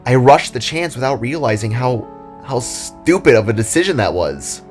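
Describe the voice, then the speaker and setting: Earnest, sensitive boy hero of a fantasy story, read by a man, animated intonation, light music underneath. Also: medium pitch, moderate pace, natural voice. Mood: regretful, earnest. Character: earnest young boy spark, wondering, guilt-ridden friend. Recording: music behind the voice.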